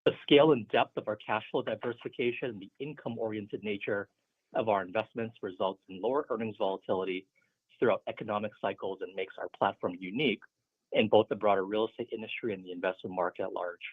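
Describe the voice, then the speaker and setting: A man presenting as a finance executive, reading a formal scripted financial report in a steady, businesslike way. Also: fairly low pitch, quick pace, slightly rough, dry recording. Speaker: finance executive on an earnings call. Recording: muffled narrow phone conference line.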